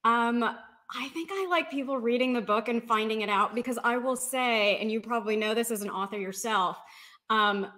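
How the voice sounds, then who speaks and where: A woman's voice: on the high side, normal speed, pushed and bright. A woman speaking casually as a guest author in an interview over a video call. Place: indoor room with bookshelves, video call.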